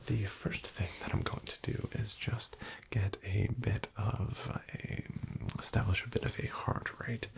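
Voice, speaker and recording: Guy whispering real slow as a doctor, polite and kinda hesitant, gravelly breathy voice. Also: fairly low pitch, fairly even intonation. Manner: polite, hesitant, clinical and slightly wry. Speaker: doctor in a roleplay. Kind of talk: soft-spoken ASMR roleplay.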